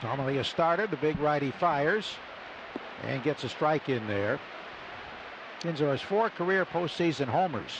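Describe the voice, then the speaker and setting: A man calling a baseball game play by play on live radio in clipped, deliberate phrases. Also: fairly high pitch, animated, dull audio. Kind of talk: live radio sports commentary with sponsor reads. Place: stadium broadcast booth. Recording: noisy live ballpark radio broadcast.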